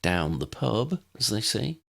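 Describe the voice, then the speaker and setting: Silky, gracious headmistress, voiced by a man, raised pitch with lilting swoops, storybook fantasy. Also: big pitch swings, slow, gravelly, fairly bright tone, full character voice. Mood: brisk, polite. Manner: purring, gracious. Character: poised, purring woman head teacher, courteous and silky.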